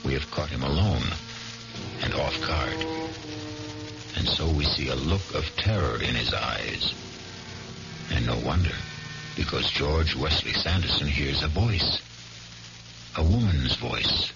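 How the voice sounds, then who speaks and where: A very deep, creaky-voiced man hosts a radio mystery with deliberate, ominous narration. The old recording is dull, with music behind him. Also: expressive. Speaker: host and narrator.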